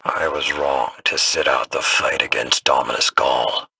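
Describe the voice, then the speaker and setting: An actor playing a stern, proud old immortal warlord in a video game, gravelly and raspy, speaking in a grave, formal way. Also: male voice, rumbling low, steady tone, a little slow, pushed and bright, exaggerated voice. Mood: stern, disdainful. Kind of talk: scripted video game dialogue.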